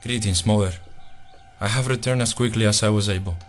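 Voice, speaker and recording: A man's voice: fairly low pitch, a little fast, soft background music, close to an everyday voice. A man playing an earnest, pleading Cuban villager with a Cuban accent and an urgent, polite manner in an audio drama. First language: Spanish. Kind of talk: immersive audio drama acting.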